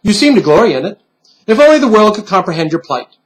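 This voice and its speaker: A man's voice: high voice, very animated, fast, pitched above the performer's own voice, rough recording. A man voicing a stern, patronizing bunny pin who lectures in formal phrases in a storybook reading.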